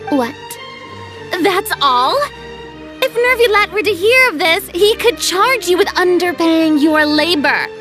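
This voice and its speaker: Young female star, high voice, very animated, haughty, dramatic, game cutscene, music behind. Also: unhurried. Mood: indignant. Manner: haughty, dramatic, self-important. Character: proud theatrical young female star turned film director. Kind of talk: video game voice acting.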